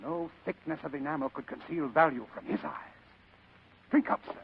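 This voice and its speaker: An actor playing a genteel, scheming fat crime boss in old radio drama style, pompous, florid and ornately courteous, with a husky voice. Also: male voice, on the high side, moderate pace, a little hiss or hum. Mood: grand, savoring. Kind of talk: 1940s-style radio acting. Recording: muffled old radio recording.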